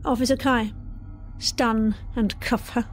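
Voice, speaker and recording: Cold, officious corporate officer. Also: female voice, fairly high pitch, steady tone, slow pace, music in the background. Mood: stern command. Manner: formal, curt, bureaucratic. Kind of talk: audio drama acting.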